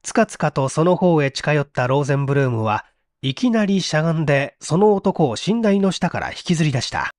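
A man's voice: fairly high pitch, very animated, brisk, a little mellow, no room sound. Male audiobook narrator, dramatic and suspenseful, very varied intonation, dry studio-like sound. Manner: theatrical, suspenseful. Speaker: audiobook narrator, stage actor. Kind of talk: dramatic scripted mystery narration.

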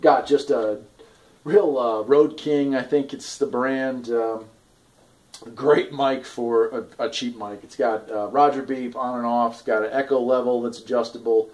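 A man in his forties talking casually and haltingly in an off-the-cuff video review, recorded in a garage on a camera at arm's length. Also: mid-range voice, steady tone, darkish tone. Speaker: product reviewer, farm owner. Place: garage, indoors.

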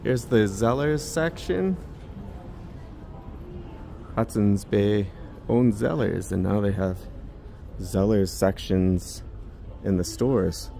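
Low gravelly man vlogging. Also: slow pace, long pauses, mellow, a little hiss or hum. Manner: musing, chatty remarks to himself. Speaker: vlogger giving a store walking tour. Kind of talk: casual walking-tour commentary. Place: large indoor department store. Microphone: handheld phone camera while walking.

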